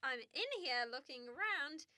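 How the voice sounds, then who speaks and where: A man's voice: very high voice, animated, unhurried, pushed and bright, no echo, full character voice. A man voicing a polite, hesitant, naive young customer who is easily awed, in a radio comedy sketch. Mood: awed, curious.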